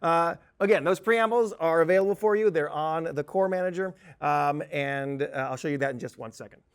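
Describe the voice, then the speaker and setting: Give the voice of a male software trainer whose pitch sits a little high for a man. He talks through a tutorial informally, as if chatting with learners. His delivery is emphatic, with a jokey streak. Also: fairly quick, clear, resonant tone.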